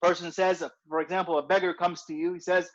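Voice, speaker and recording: A man's voice: sits high, little pitch movement, fast. A man teaching an informal religious class in a conversational way, repeating his points and often asking "right?". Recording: dull lo-fi recording.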